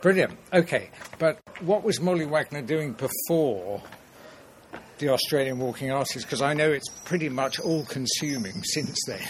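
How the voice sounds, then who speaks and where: A man's voice: medium pitch, animated, normal speed, a little hiss or hum, rough recording. A man hosting a walking podcast, interviewing a guest while walking outdoors through city streets. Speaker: podcast host. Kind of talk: scripted intro then casual interview.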